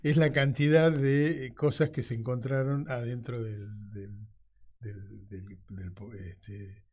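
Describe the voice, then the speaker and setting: A man's voice: medium pitch, fairly even intonation, takes it slowly, darkish tone. A man, a radio host who talks chattily, affirms often and restarts his phrases a lot. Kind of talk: radio conversation.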